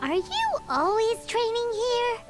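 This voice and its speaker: A tiny fairy sidekick from a video game, with an extremely high, squeaky little-girl voice. She is chirpy and inquisitive, swooping up and down over prominent music. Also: wildly swooping pitch, slow, heavily acted. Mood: curious. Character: tiny, cute, childlike floating fairy companion girl.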